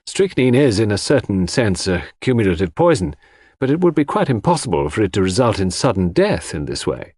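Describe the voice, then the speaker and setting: A man's voice: lowish voice, very animated, a little fast, a little mellow, husky, no room sound, studio quality. A man voicing an authoritative, scornful doctor giving formal, emphatic testimony in an audiobook. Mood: dismissive, certain.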